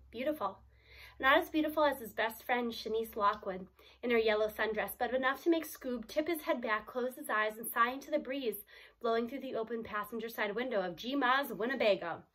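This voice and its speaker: A woman reading a storybook aloud in an animated, emphatic way, with explanatory asides. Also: a little high, low-quality recording.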